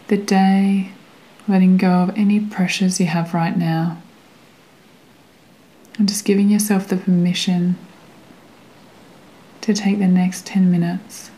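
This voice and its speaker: A woman guiding a meditation in a gentle, soothing way, with a soft, sometimes breathy voice and long pauses between phrases. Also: mid-range voice, poor audio. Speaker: meditation guide. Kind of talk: guided meditation narration.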